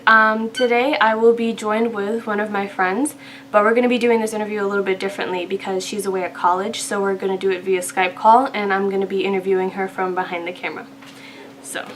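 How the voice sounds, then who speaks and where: A woman's voice: medium pitch, a little hiss or hum, poor audio. A young adult woman hosting an informal interview for a channel, reading out prepared questions.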